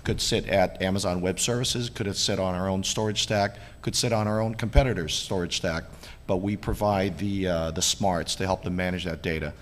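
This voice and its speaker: A man on a tech panel who is losing his voice, measured and apologetic, with many fillers. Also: low voice, steady tone. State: partly lost voice. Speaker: panelist, technical account manager. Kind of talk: conference panel talk. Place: large conference hall. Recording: reverberant hall, faint noise.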